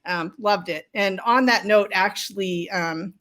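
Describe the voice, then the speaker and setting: A middle-aged woman speaks as an interview guest in a casual, conversational style. Her pitch sits in the middle for a woman. She is effusive and openly enthusiastic as she talks. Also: a little slow, a bit bright. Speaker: guest novelist and writing coach.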